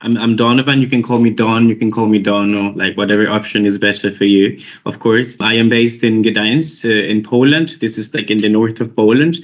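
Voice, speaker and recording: A man's voice: lowish voice, steady tone, a little fast, hardly any pauses. Costa Rican man rambling on a call. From Costa Rica. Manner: rambling, many restarts and "like"s. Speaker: recruiter. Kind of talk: casual conversation on a video call. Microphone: video call. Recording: dull lo-fi call audio.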